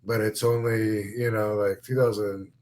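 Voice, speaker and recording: A man's voice: fairly low pitch, level tone, measured pace, a little mellow. Man in his fifties, podcast host, rambling and laid-back, swears a lot, easygoing casual chat. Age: 50s. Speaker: podcast host, former wrestler.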